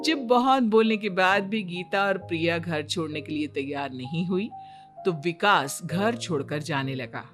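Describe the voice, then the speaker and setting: A woman's voice: fairly low pitch, lively intonation, measured pace, soft background music. A woman reading scripted narration for a moral cartoon story as its narrator.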